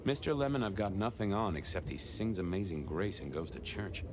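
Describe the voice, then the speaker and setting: An actor playing a wry, probing detective in a video game, coolly conversational and casually polite. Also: male voice, deep voice, sing-song, brisk. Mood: businesslike. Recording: clear background ambient noise.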